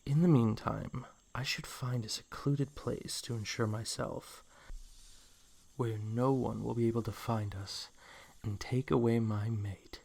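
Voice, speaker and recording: Naga hypnotist lover, male actor: possessive, coaxing villain, slow delivery with a creaky, fried edge, audio drama. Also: medium pitch, steady tone, darkish tone. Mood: brooding, scheming. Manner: slow, coaxing, theatrical villain purr, often near whispering. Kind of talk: scripted fan audio drama acting.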